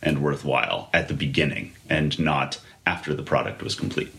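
A man with a deep, sonorous voice, a guest explaining his work in a measured, deliberate way. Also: creaky, a bit bright, a little hiss or hum. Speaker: podcast guest. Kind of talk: conversational interview.

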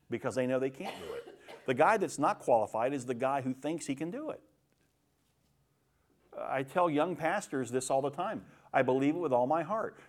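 A man delivers a sermon as a pastor, teaching through scripture. His delivery is emphatic, with pointed questions and deliberate pauses. His voice sits at a middling pitch. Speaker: pastor preaching. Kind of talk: sermon, verse-by-verse Bible teaching.

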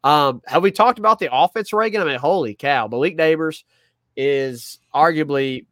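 A man's voice: fairly high pitch, normal speed, fairly bright tone, no room sound, studio quality. A man with a stuffy nose from a cold, co-hosting a casual sports talk show and thinking aloud with lots of restarts. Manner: rambling, self-correcting, thinking aloud. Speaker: podcast co-host.

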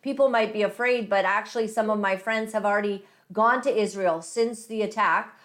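A woman's voice: mid-range voice, moderate pace, a bit bright. A woman hosting an interview, talking in a chatty, enthusiastic way and laughing often. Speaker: podcast host. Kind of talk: interview chat.

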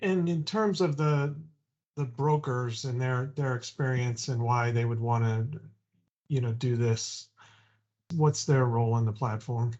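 A man's voice: mid-range voice, fairly even intonation, measured pace, darkish tone. A man hosting an interview, asking conversational questions that often restart mid-sentence. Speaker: podcast host. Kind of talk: interviewing a guest. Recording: dull lo-fi audio.

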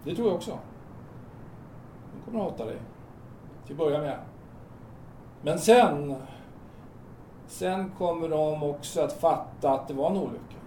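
A man plays a blunt, matter-of-fact guy in an audio drama, speaking in a colloquial, hesitant way. His voice sits fairly high yet soft-toned. The recording is echoey with faint noise. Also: pauses often, lightly acted. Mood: reassuring. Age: 30s. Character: blunt, casual thirtyish man talking down a would-be jumper. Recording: roomy, distant, noisy amateur-sounding recording.

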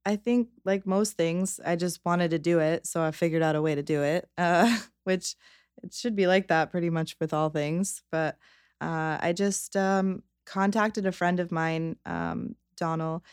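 Low, smooth-voiced young woman chatting. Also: a little slow, dry recording, studio quality. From Connecticut, United States. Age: 20s. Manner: chatty, frequent "like" fillers, quotes herself in lively bursts. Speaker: guest, tattoo artist. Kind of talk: casual conversational interview.

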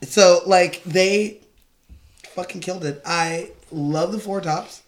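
Podcast co-host: deep-voiced woman, loose and profane, rambling. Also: takes it slowly. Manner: swears freely, rambling and animated. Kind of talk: casual chat.

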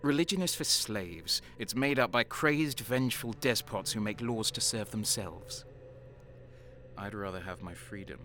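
A scornful traitor soldier. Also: male voice, fairly low pitch, unhurried, bright, forceful tone, soft background music. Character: sadistic traitor guardsman, grim and scornful. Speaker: first-person narrator and character. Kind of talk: audio drama acting.

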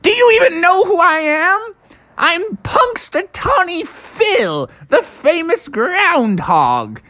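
A man voicing a cranky, self-important little old groundhog in a high, strained, folksy voice for a children's story. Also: big pitch swings, slow, pushed and bright, no room sound, exaggerated voice. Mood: indignant, boastful. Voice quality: high, strained small-critter voice. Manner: pompous, folksy, indignant.

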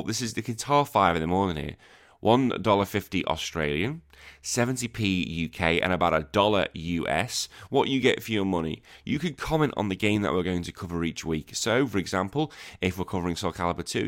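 A man in his thirties from England, hosting a podcast in a rambling, self-deprecating chat, sounding croaky from a cold. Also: low voice, no room sound, studio quality. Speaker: podcast host. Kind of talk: casual chat.

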